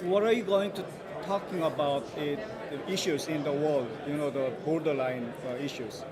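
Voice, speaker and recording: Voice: mid-range voice, normal speed. An interviewer with a Korean accent asking short, polite questions outdoors at an event. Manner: polite, brief questions with steady backchannel murmurs. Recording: busy crowd behind. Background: crowd.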